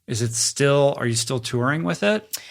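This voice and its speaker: A man hosting a podcast interview, asking questions in a relaxed, curious way with lots of filler words. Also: medium pitch, moderate pace, vocal fry. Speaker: podcast host. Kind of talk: casual interview chat.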